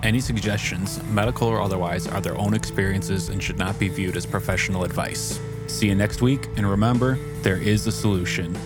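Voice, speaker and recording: A man's voice: low voice, fairly even intonation, brisk. A man reading a scripted podcast outro as an announcer. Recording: voice over prominent music bed. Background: music.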